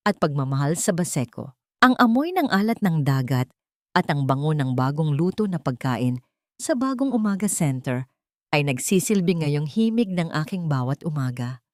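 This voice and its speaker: Lowish woman voice narrating a sentimental drama story, measured, really dry sound. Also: darkish tone, dry recording.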